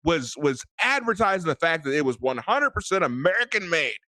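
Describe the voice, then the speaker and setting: A man's voice: fairly high pitch, very animated, fairly quick, bright, forceful tone, no echo. A man ranting in a casual late-night chat, often repeating words as he builds his point.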